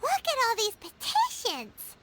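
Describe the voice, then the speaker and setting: A woman voicing a tiny, childlike, eager fairy companion with squeaky, excitable, cartoonish video game delivery. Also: unhurried, noisy background, exaggerated voice. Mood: curious, amazed. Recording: game dialogue with prominent music.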